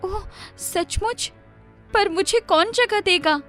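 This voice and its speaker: A woman's voice: very high-pitched, takes it slowly, fairly bright tone, voice pushed up, exaggerated voice. A woman voicing a poor, distressed young mother in a children's story, pleading and bewildered. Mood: puzzled. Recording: music playing behind the voice.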